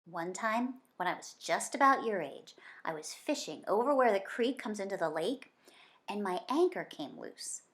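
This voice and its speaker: A woman reading a children's story aloud in an expressive, dramatic way. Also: mid-range voice, normal speed. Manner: dramatic, varying from hushed suspense to emphatic excitement. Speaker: children's book reader.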